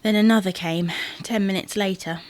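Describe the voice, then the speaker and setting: A woman's voice: mid-range voice, steady tone, moderate pace, darkish tone, natural voice. A woman playing a stubborn, exasperated wife in a domestic comedy audio drama, indignant and matter-of-fact. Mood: puzzled, uneasy.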